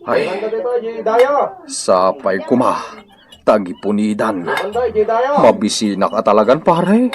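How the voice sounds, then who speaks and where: A man's voice: fairly low pitch, expressive, crowd noise. An actor playing a hungry, jokey village man who grumbles and exclaims in a comedic radio drama. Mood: hungry, complaining. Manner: exclaiming, drawn-out complaints.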